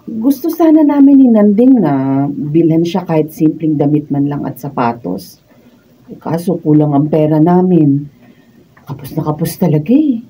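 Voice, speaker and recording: A woman's voice: low-pitched, expressive, moderate pace, mellow, husky. An actress playing a humble, pleading poor mother in a Filipino radio drama. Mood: pleading, hesitant. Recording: dull distant mic, faint noise.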